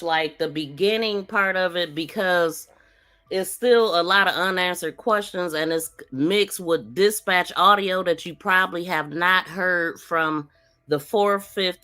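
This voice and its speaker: Bright-voiced woman hosting a casual livestream. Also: lowish voice. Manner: informal, conversational, addresses viewers directly. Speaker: livestream podcast host. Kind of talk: casual live true-crime commentary.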